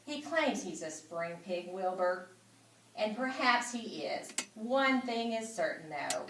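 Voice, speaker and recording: A woman's voice: medium pitch, animated, a bit bright, a little hiss or hum. Woman as a polite, dry storybook spider, roomy sound. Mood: critical, confiding. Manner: polite, measured, dryly critical. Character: polite, dry, weary aging female spider. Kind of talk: children's storybook reading. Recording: roomy echo, distant microphone.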